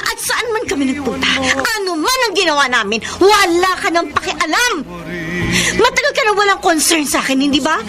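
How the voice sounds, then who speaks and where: A woman's voice: very high-pitched, expressive, fairly quick. An actress playing a hurt, defiant young Filipino girlfriend in a melodramatic radio drama. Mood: angry, tearful. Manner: melodramatic, emotional. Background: dramatic music.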